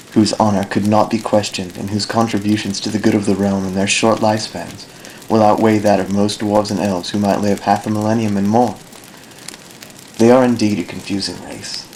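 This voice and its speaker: A man playing a thoughtful dark elf ranger in an amateur audio drama, speaking in a solemn, measured, formal way. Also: fairly low pitch, lightly acted. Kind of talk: fan audio drama narration, literary monologue. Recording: noticeably noisy amateur recording.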